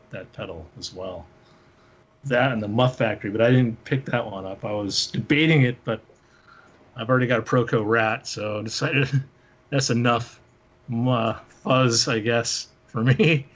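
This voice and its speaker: A man with a middle-pitched voice talks casually as host. He shows things off in an informal way, thinking aloud and halting as he goes. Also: muffled recording. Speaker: panel host, synth musician. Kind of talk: casual gear show-and-tell.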